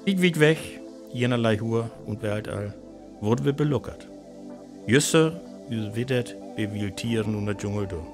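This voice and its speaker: A grave male narrator of a science fiction radio drama speaks with a North Frisian accent. His voice sits on the low side, and his delivery is scripted and dramatic, with soft music underneath. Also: expressive, a little slow. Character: grave male narrator of an alien invasion tale.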